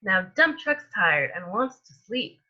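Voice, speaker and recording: A woman reading a picture book aloud to young children as a storytime host, in an expressive manner that stretches out words and softens endings. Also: medium pitch, dull audio. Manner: animated, with drawn-out words and hushed endings.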